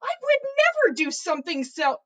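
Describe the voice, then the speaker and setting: A woman voicing a bubbly, quick-tempered teenage witch girl in an exclaiming manner for a story reading. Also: very high voice, big pitch swings, a bit bright, pitched above the performer's own voice, exaggerated voice. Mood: irritated. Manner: gushing, exclaiming.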